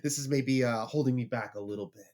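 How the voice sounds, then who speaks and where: A man's voice: medium pitch, quick pace, a little mellow. A man in his forties with a Valley accent, a podcast guest talking in casual chat, nasal and congested from a cold. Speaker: podcast guest, entertainment journalist.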